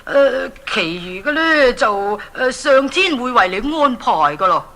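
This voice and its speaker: A mysterious seer in a children's radio drama, addressing royalty with ceremony. The voice is fairly high and piercing. The phrasing is hesitant and drawn out, as if guarding secrets. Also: female voice, big pitch swings, measured pace, bright, forceful tone, full character voice. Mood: solemn, knowing. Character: mysterious all-knowing prophet, unhurried and deferential.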